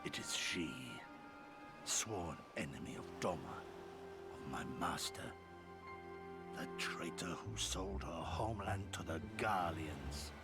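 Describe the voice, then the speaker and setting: A man's voice: deep voice, takes it slowly. An actor playing a stern, grizzled old male warrior who speaks in a grave, declamatory way. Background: loud game music.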